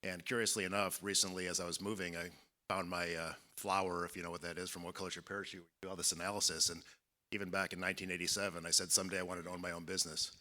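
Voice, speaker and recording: A man in his fifties speaking as a panelist at a conference, measured and reflective, with many fillers. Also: low-pitched, little pitch movement, sharp tone. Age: 50s. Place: conference hall.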